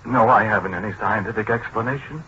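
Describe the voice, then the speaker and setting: An American actor playing a gentle, disgraced scientist in a 1940s radio drama, hesitant and apologetic, with self-pity in his delivery. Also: fairly low pitch. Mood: resigned. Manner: hesitant, halting, self-pitying. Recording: muffled, noisy 1940s radio recording.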